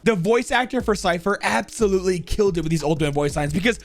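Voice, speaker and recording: A young man hosting a gaming video, giving excitable, informal and enthusiastic ranking commentary. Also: sits high, quick pace, slight background noise.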